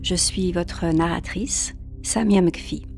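A woman reading a calm, scripted narration for a sleep podcast. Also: lowish voice, takes it slowly, gravelly, soft background music.

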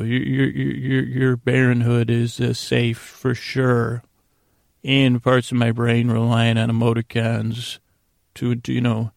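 A man with a heavily gravelly, creaky voice at an ordinary pitch, recorded close and dry. He hosts a sleep podcast, wandering through whimsical asides and often breaking off to restart his thought. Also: darkish tone, dry recording. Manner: meandering, halting, self-interrupting, whimsical. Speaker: podcast host. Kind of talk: rambling bedtime sleep podcast monologue.

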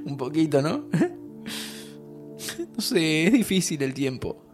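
An actor with an Argentine accent playing a warm young man who says goodbye to his friend, laughing softly and comforting him. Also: fairly high pitch, lively intonation, slow, soft background music. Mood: tender. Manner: affectionate, laughing, soothing. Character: warm young male time traveler saying goodbye. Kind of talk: audio drama acting.

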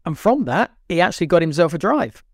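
A man co-hosts a relaxed podcast, stressing his points and often restarting his sentences. His voice sits a little high for a man. The sound is dry and close, with no room echo. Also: fairly quick. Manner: emphatic, stumbling restarts. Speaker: podcast co-host. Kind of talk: casual chat.

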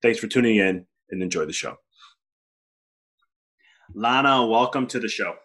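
A man's voice: lowish voice, normal speed, a bit bright. Upbeat male podcast host. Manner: upbeat, earnest, some fillers. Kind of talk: podcast intro and interview.

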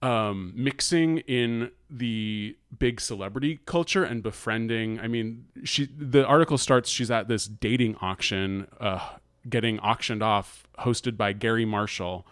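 A man with an American accent talking casually as a podcast co-host, halting and often restarting his sentences as he recounts things. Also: on the low side, a bit bright, smooth, dry recording. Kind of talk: casual conversational recounting of an article.

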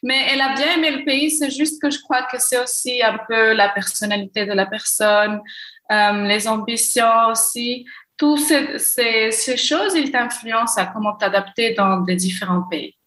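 A young Moroccan woman answering interview questions, often pausing and restarting as she searches for words. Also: fairly high pitch, bright, forceful tone, echoey room. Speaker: podcast guest, gender equality consultant.

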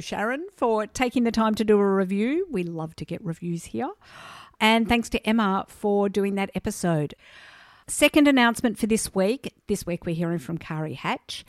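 A woman's voice: medium pitch, dry recording, studio quality. A woman with an Australian accent hosting a podcast, chatty and upbeat as she introduces her guest. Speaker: podcast host. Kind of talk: podcast interview.

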